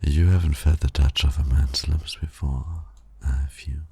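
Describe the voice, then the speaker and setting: Casting: male actor for a suave villain lover in ASMR roleplay, extremely low, breathy voice, flirtatious and teasing. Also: steady tone, dark tone, no echo, heavily acted. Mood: teasing, intimate. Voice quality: gravelly, breathy. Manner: flirtatious, slow, purring, often dropping to a whisper. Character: suave, teasing male supervillain courting his hero nemesis.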